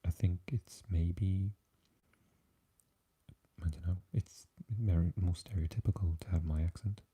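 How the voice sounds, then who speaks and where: A man with a Swansea Welsh accent, chatting softly with live viewers and often dropping into an unvoiced whisper. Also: steady tone, unhurried, slightly rough. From Wales. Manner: mixes unvoiced whispering with low, deep speaking. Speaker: ASMR live streamer reading chat. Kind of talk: soft-spoken live chat with viewers.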